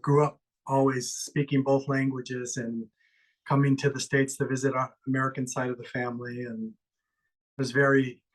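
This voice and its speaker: A man's voice: medium pitch, level tone, normal speed, poor audio. A man from Ecuador, a musician guest, talking earnestly and gratefully in a rambling way. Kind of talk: casual interview chat.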